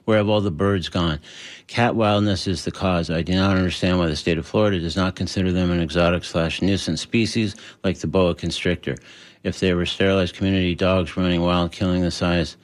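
Measured male radio host. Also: sits low, fairly even intonation. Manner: measured, friendly, with frequent "uh" pauses. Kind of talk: radio interview hosting.

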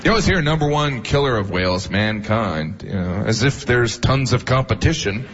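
A man doing a stand-up comedy routine, deadpan, slipping into silly voices. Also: mid-range voice, normal speed, lo-fi sound, a little hiss or hum.

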